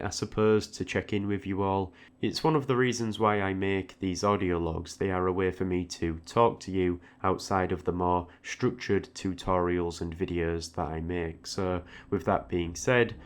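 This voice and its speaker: A man giving an informal, reflective audio log, often repeating words hesitantly as he goes. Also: low-pitched. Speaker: art tutorial creator, channel host.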